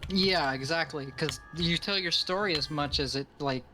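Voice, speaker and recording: A man's voice: fairly high pitch, normal speed, sharp tone, slight background noise. A man chatting casually with friends on a stream, with some music and keyboard typing behind him. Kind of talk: casual chat.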